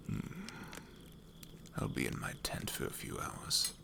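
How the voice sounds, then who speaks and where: A man voicing a brooding, secretive ritualist with a curt, menacing hush in a fantasy audio roleplay. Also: takes it slowly, background noise, close to an everyday voice. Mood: cold, curt. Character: brooding, secretive ritualist, a former guild killer.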